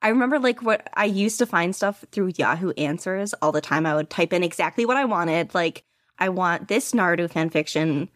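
A woman's voice: on the low side, lively intonation, normal speed, dry recording, clean studio sound. A young woman guest on a podcast, talking casually and rambling with lots of "like" and small laughs. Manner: rambling, casual, many "like" fillers, giggly. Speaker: podcast guest, fan fiction writer. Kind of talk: casual interview. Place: bedroom at home.

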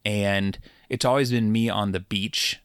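A middle-aged man co-hosting a podcast, chatting casually in a relaxed, rambling way with halting restarts. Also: fairly low pitch, clear, resonant tone, no echo, studio quality. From Puget Sound, Washington. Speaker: podcast co-host. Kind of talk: casual chat.

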